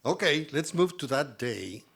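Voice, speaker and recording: A man interviewing an author onstage, asking measured questions he reads from notes. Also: on the high side, big pitch swings, gravelly, fairly bright tone, breathy edge. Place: stage before an audience.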